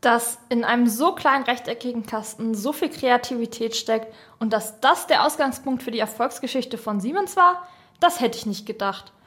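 A woman acts as an eager young female podcaster in an audio drama. Her voice is very high, with a questioning, exclamatory delivery. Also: fairly bright tone, natural voice.

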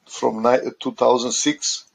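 A middle-aged man from Croatia with a heavily gravelly, creaky voice. He speaks at a middle pitch and answers interview questions haltingly, pausing often as he searches for words. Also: level tone. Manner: halting, searching for words in non-native English. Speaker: guest, asset condition manager. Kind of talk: conversational podcast interview.

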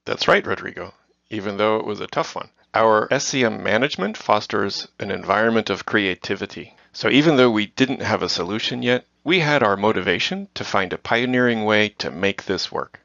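A middle-aged man from the Netherlands answers in a measured, prepared way, as if reading. His voice sits low with a gravelly edge. The recording is dull and dry, with little room sound. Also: lively intonation, bright, forceful tone, no room sound. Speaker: guest. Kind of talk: scripted corporate interview.

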